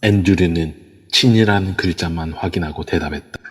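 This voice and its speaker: A man narrating an amateur audio drama in steady storytelling form. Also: low voice, normal speed, husky. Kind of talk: scripted narration. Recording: reverb-heavy online audio.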